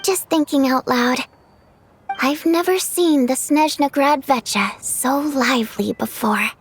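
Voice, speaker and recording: A dutiful young operative in a game. Her voice is high, and there is slight noise in the background. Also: measured pace. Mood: musing. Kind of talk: game cutscene acting.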